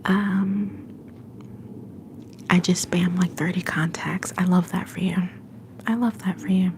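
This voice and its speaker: A young woman hosting a livestream from home, whispering softly and affectionately to her viewers. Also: on the low side, little pitch movement, measured pace, a little hiss or hum. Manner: soft whisper, affectionate and encouraging. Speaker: livestream host.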